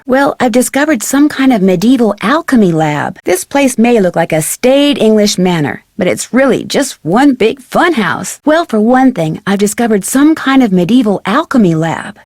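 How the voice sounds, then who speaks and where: A woman playing a curious, polite young female detective in scripted video game dialogue, clearly enunciated and inquisitive. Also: a little high, darkish tone, no room sound, clean studio sound. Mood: thoughtful, confiding. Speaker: voice actor playing a game's lead character.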